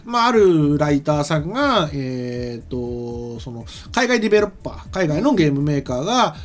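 A middle-aged man giving casual explanatory commentary as a show co-host, haltingly and with frequent self-corrections. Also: a little high, sing-song. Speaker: commentator and co-host, anime and game writer. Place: small studio set, indoors. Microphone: desk microphone. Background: background music.

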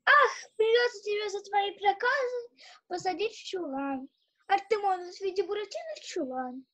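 A woman's voice: extremely high pitch, moderate pace, a bit bright, highs cut off. A child playing a prim, bossy girl doll who instructs and scolds like a governess in an audio play. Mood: exasperated.

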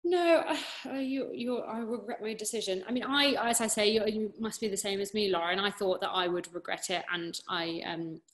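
A woman's voice: mid-range voice, normal speed, few pauses. A young British woman, an opera singer, answering questions in a casual online Q&A from her living room, encouraging and full of fillers. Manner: chatty, encouraging, many fillers and restarts. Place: living room at home, indoors. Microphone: laptop webcam on a video call.

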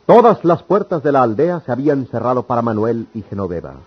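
A man's voice: mid-range voice, very animated, a little fast, husky. Dramatic male narrator, muffled radio sound. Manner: declamatory, dramatic. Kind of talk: scripted literary radio serial narration.

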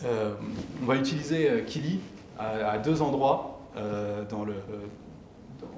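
A young man giving a technical presentation at a meetup in a halting, explanatory way, posing questions and answering them himself. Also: fairly low pitch, darkish tone. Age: young adult. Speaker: tech meetup speaker presenting his company's software. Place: indoor lecture room, at a lectern. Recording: echoey room with clear background noise.

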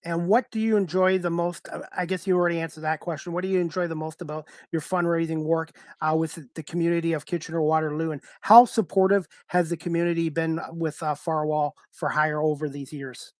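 A man's voice: a little high, steady tone, a little fast, few pauses, no room sound, clean studio sound. A middle-aged man hosting a talk show, asking informal, somewhat hesitant questions over a video call. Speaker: talk show host interviewer.

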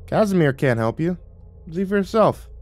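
A man's voice: high-pitched, animated, moderate pace, no room sound, faint music behind, voice pushed up. A man voicing a solemn, dryly teasing Navajo ancestor spirit, tall and wise, in a narrated story. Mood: grave. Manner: quiet, grave delivery with dry teasing.

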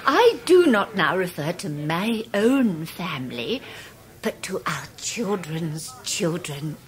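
An actress playing a devoted, grandiose middle-aged English wife in a radio drama, with a declamatory, high-flown manner. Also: female voice, very animated, takes it slowly. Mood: wistful, earnest. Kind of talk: 1990s radio drama acting.

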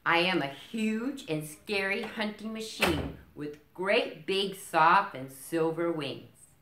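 A woman voicing an indignant, boastful baby owl boy in a storybook, protesting with slow emphasis. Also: fairly low pitch, echoey room, noisy background.

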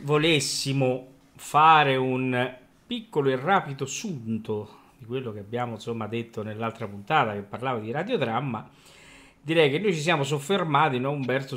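A man's voice: medium pitch, fairly bright tone. A man hosting a casual radio talk, rambling hesitantly and often seeking agreement. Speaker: radio host.

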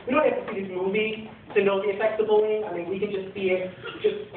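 A man's voice: sits high, flat delivery, slight background noise. Earnest young man in an echoey hall. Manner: earnest, halting, many fillers. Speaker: youth center panelist. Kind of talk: personal testimony on a panel. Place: screening room panel, large hall. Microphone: handheld mic, recorded from far in the audience. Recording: echoey hall, far off, tinny.

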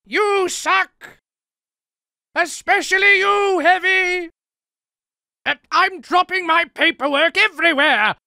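A man's voice: very high-pitched, takes it slowly, vocal fry, pauses often, pushed and bright, no room sound, studio quality, exaggerated voice. A man voicing a fussy, indignant middle-aged civilian for improvised video game lines, prim, whiny and pleading. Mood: peevish.

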